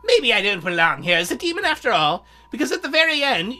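A woman's voice: a little high, big pitch swings, quick pace, bright, forceful tone, faint music behind. A woman voicing a shy, lonely young female demon from a visual novel, earnest and hesitant as she speaks. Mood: confessing. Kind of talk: visual novel character read aloud.

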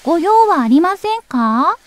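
A woman voicing a small, eager crab barber in a children's story, politely sing-song with drawn-out calls. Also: very high voice, very animated, pitched above the performer's own voice, heavily acted. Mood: cheerful, polite.

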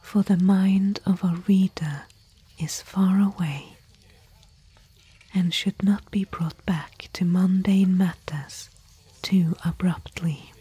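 A woman playing a thoughtful, bookish expedition companion in gentle, musing, whispery ASMR roleplay. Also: lowish voice, steady tone, takes it slowly, dry recording, exaggerated voice, rough recording. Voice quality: breathy, whispery. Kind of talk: soft-spoken ASMR roleplay.

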